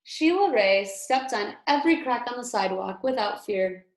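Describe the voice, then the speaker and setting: In an echoey room, a woman with a slightly high voice reads a storybook aloud to young children. She is a kindergarten teacher, theatrical in delivery and careful to emphasize and explain. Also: expressive, normal speed.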